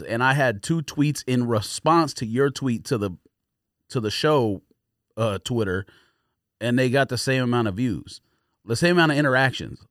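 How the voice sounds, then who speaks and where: A man's voice: mid-range voice, a bit bright, no echo, clean studio sound. A man co-hosting a podcast in casual, opinionated banter, speaking bluntly in slang with a sardonic edge. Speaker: podcast co-host.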